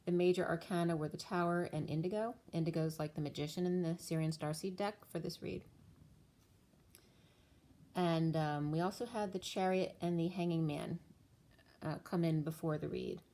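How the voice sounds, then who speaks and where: A woman's voice: on the low side, fairly even intonation, normal speed, dark tone. A woman giving a casual spiritual tarot reading, rambling through her explanations while losing her voice. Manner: rambling, stream-of-thought explanations. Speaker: tarot reader.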